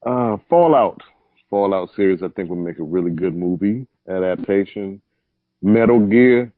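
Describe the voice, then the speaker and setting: Brief: lower-pitched guy, easygoing podcast co-host, dry tinny phone-like audio. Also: normal speed, no room sound. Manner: loose, joking, lists ideas. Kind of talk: casual chat.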